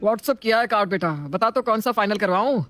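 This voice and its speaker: A woman plays a middle-aged mother in a domestic story, fussy and doting. She takes charge of the household in an exclamatory, motherly way, at an ordinary mid pitch. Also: animated, fairly quick, a bit bright, a little hiss or hum, close to an everyday voice. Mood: fretful. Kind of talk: scripted family story acting.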